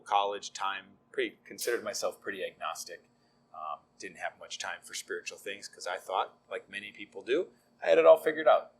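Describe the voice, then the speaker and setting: Husky, low-voiced middle-aged deacon. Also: male voice. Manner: easygoing storyteller, frequent ums, self-deprecating humor. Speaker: deacon, guest. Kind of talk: conversational faith testimony.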